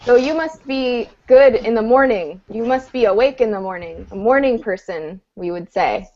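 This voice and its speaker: A woman teaching a beginner English class online, speaking patiently and clearly with an encouraging manner. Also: on the high side, animated, moderate pace, darkish tone. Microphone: video call. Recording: dull lo-fi video call audio.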